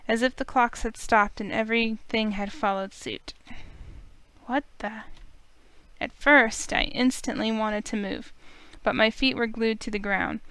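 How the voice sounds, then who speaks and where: A woman's voice: on the high side, a bit bright, clean tone, dry recording. A woman narrating a visual novel in first person, emotive and urgent.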